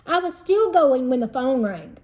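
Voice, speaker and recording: A woman's voice: high voice, big pitch swings, moderate pace, a little mellow. A woman teacher reading a children's storybook aloud in an explanatory manner meant for young listeners.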